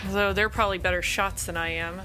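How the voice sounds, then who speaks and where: A woman's voice: medium pitch, fairly even intonation, fast talker, very noisy. A woman playing a tabletop game, chatting casually and describing what her character does.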